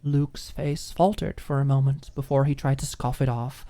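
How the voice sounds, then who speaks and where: Mellow-voiced woman narrating an audiobook. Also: very deep voice, fairly even intonation, moderate pace. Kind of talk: scripted story narration.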